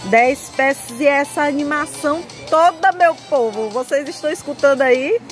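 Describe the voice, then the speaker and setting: A woman's voice: high-pitched, normal speed, faint music behind. A woman with a Northeastern Brazilian accent presenting a shopping vlog in an enthusiastic, chatty sales style, addressing viewers as friends. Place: indoor market lingerie stall.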